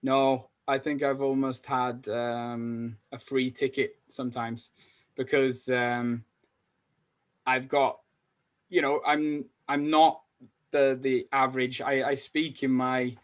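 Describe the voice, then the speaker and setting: A man's voice: mid-range voice, little pitch movement, takes it slowly. A man from Wales, a salesperson chatting as a podcast guest, rambling with many fillers and restarts. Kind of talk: casual interview chat. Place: lives in Sweden.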